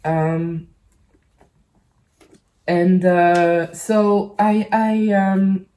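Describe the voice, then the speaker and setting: A woman from the Caribbean speaking casually and hesitantly to her channel's audience, halting and rambling as she goes. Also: lowish voice, monotone, mellow. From French Caribbean island. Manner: hesitant, halting, rambling with frequent pauses and repeats. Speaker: YouTube channel host. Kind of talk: casual personal vlog talk.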